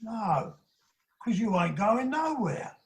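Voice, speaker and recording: Stolid, thick-headed ruffian keeper male actor, creaky and unhurried, colloquial, for comic radio play. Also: high voice, very animated, exaggerated voice. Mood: stolid, surly. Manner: rough colloquial speech. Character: gruff, dim, stolid hired keeper Blogg. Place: home, recorded over a video call.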